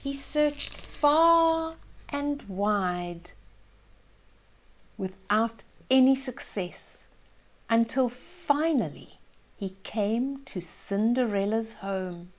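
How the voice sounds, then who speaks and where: Slow-paced older woman reading a storybook. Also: a little high. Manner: expressive, explains pictures in simple words. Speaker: children's storyteller, host. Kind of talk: storybook read-aloud with asides to young listeners.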